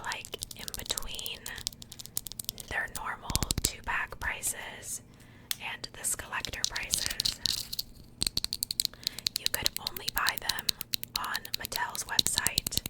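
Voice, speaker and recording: A woman speaking in a soft, breathy whisper, chatting casually and unhurriedly in the style of an ASMR unboxing. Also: rough recording. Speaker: ASMR video creator.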